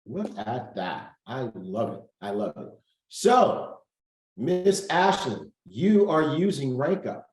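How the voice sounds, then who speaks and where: A man's voice: mid-range voice, big pitch swings, slow, darkish tone, echoey room. A man hosting a casual group coaching call over video, upbeat, thinking aloud and reading out figures. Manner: upbeat, reads out numbers, thinks aloud while clicking.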